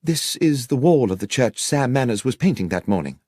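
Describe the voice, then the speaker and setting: An earnest village vicar played by a male audiobook reader gives his account with formal precision. His voice moves expressively up and down at a middling pitch, in a very dry, close sound. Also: sing-song, moderate pace, darkish tone, slightly rough, no echo. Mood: earnest, explanatory. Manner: formal, wordy, precise storytelling. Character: earnest village vicar recounting a theft to detectives. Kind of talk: audiobook character dialogue.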